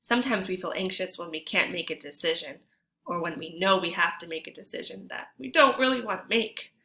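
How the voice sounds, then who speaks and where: A woman teacher reading a storybook aloud to children, expressively, pausing to ask her young listeners guiding questions. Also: lowish voice, lively intonation, gravelly, sharp tone.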